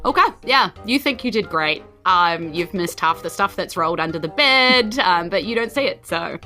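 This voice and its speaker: A woman's voice: fairly low pitch, lively intonation, moderate pace, sharp tone, faint music behind. A woman acting as game master, casually narrating a tabletop role-playing game.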